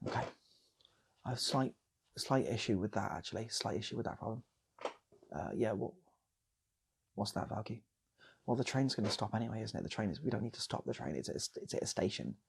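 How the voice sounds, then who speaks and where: A man's voice: fairly low pitch, lively intonation, brisk, gravelly, pauses often, breathy edge. A British man improvising a comic story, rambling and repeating phrases for effect as he goes. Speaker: channel host and storyteller.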